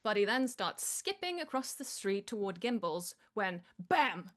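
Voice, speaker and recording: A woman's voice: mid-range voice, sing-song, measured pace. A woman reading stage directions as the narrator of a live comedy table read.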